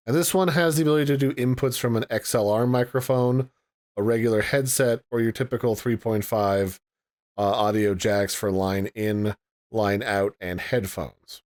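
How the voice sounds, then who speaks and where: A man's voice: lowish voice, darkish tone. A man giving a casual, chatty product review and walkthrough, explaining things in a folksy way. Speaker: tech reviewer.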